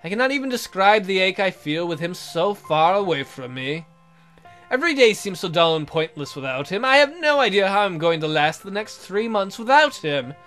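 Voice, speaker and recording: A man's voice: high voice, fairly bright tone. A man reading a visual novel aloud as a let's player, in a theatrical, jokey way with asides. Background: music.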